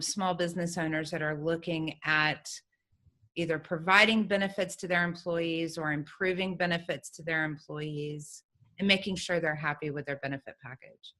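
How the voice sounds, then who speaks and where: Bright-voiced woman hosting an interview. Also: on the low side. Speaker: host. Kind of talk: conversational interview.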